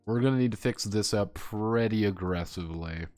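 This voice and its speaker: A man's voice: lowish voice, moderate pace, a little mellow, soft background music. A man live streaming as a game designer, rambling casually between reading draft text aloud and making chuckling asides.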